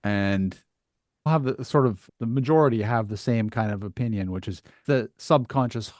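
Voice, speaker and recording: A man's voice: fairly low pitch, normal speed, a little mellow, no room sound. A man hosting a conversational interview in a reflective way, often turning statements into questions. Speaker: podcast host.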